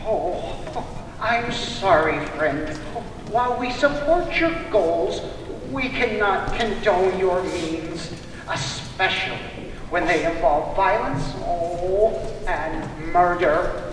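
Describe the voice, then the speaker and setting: A man playing a genteel, mannered puppet-show character with polite airs and a drawn-out delivery. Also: high-pitched, expressive. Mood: apologetic, disapproving. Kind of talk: puppet theatre acting. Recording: echoey hall from far off, noisy.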